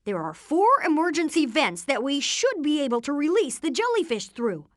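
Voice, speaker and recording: Video game boy genius: earnest take-charge inventor, high and very lively, explains things precisely. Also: moderate pace, no echo, clean studio sound. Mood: explaining, confident. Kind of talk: animated video game voice acting.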